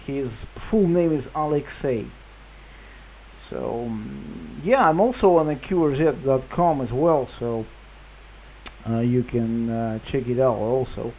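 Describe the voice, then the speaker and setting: A Russian-accented man chats as a radio amateur, slowly and haltingly, feeling for his words. The sound is a little dull, with faint noise around his mid-pitched voice. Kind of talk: casual ham radio chat. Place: at home at a computer. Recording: muffled, slightly noisy radio link recording.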